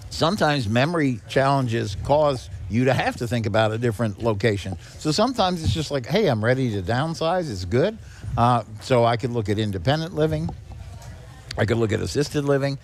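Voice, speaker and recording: A man's voice: mid-range voice, expressive, moderate pace. Middle-aged man, mid-range voice, plain-spoken, instructive, conversational guest explaining practical steps. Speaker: guest, senior charity executive director. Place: on location at a garage.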